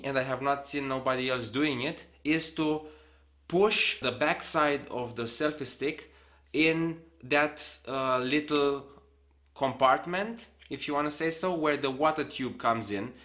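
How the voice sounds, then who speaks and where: Young man, bright tense voice, casual tutorial, small home room, desk camera. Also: medium pitch. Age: young adult. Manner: explains hands-on, demonstrating gear while talking. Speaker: vlogger. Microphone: camera on a desk, facing him.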